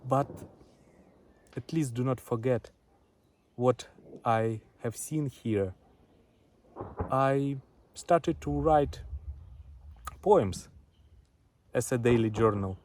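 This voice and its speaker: Very slow Ukrainian-accented man, noisy recording. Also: medium pitch, darkish tone. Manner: deliberate, broken into short phrases. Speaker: poet and front-line witness sending a message. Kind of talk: recorded spoken address to a poetry audience.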